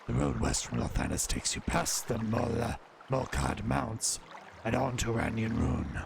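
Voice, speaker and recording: A man voicing a proud dark elf townsman from a video game, giving a measured rundown in a deep, gravelly voice. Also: lively intonation, noisy background. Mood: informative. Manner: measured, informative recital. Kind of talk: voicing in-game dialogue in a let's play.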